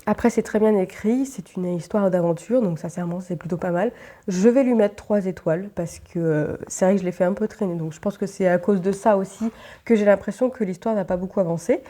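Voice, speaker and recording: A woman's voice: medium pitch, fairly quick, warm tone. A woman book vlogger with a stuffy, nasal voice from a cold, chatting casually to her viewers with many fillers. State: congested, stuffy nose from a cold. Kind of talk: casual chat to camera.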